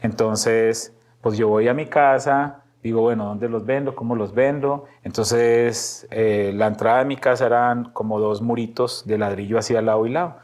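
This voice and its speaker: A man's voice: medium pitch, fairly even intonation, moderate pace, hardly any pauses, darkish tone. A middle-aged Colombian man with a paisa accent, telling personal stories casually as a podcast guest and acting out bits of dialogue. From Medellín, Antioquia, Colombia.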